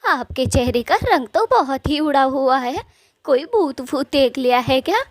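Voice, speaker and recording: High-voiced, nagging old wife acted by a woman. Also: expressive, unhurried, no echo, clean studio sound. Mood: worried nagging. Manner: nagging, questioning. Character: old village wife, questioning, doubtful and nagging. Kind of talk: Hindi cartoon story voice acting.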